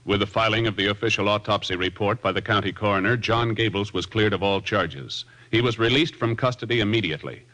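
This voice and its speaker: A man's voice: on the low side, a little fast, hardly any pauses, poor audio. A man with an American accent giving formal scripted announcements for a 1950s radio drama. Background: loud music.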